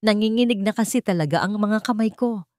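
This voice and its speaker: A woman voicing an older mother-in-law in a narrated story, speaking gently and softly while feigning innocence. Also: medium pitch, brisk, dry recording, clean studio sound, pitched above the performer's own voice, close to an everyday voice. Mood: feigned worry. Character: older mother-in-law, sly and falsely sweet. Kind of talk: storybook narration with acted dialogue.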